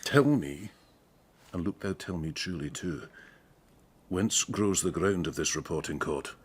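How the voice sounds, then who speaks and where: Stern, low-voiced noble duke. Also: male voice, unhurried, breathy edge. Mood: stern, probing. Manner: stern, formal, questioning. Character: authoritative noble Spanish duke, an anxious father. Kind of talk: classical verse radio drama acting.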